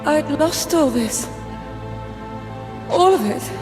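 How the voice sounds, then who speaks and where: An actress playing a young, feisty rebel agent in a video game, talking in an informal, slangy way. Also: female voice, sits high, animated, slow pace, long reverb tail. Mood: emotional, vulnerable. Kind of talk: dramatic video game cutscene acting. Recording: music behind the voice.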